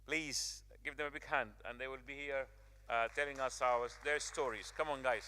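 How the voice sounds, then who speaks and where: A man's voice: medium pitch, steady tone, normal speed, pushed and bright, clear, resonant tone, long reverb tail. A man hosting an advocacy event, speaking earnestly with many fillers and repetitions. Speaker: event host. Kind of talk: emcee speech at an advocacy event.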